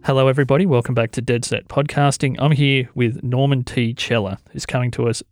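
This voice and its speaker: A man's voice: medium pitch, fairly even intonation, brisk, no echo, faint music behind. Chatty male podcast host, dry close sound. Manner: chatty, enthusiastic, laughs easily. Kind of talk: casual podcast intro and interview.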